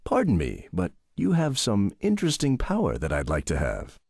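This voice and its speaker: An actor playing a calm, pompous villain who poses as a self-help coach in a game dub, measured and condescending in manner. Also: male voice, fairly low pitch, husky, no room sound, studio quality, full character voice. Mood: smooth menace. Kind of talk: scripted anime game dub acting. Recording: loud music behind the voice.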